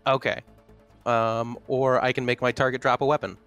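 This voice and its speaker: A man's voice: medium pitch, flat delivery, normal speed, fairly bright tone, smooth, soft background music. A man chatting casually as a player in a tabletop game, thinking his moves through aloud.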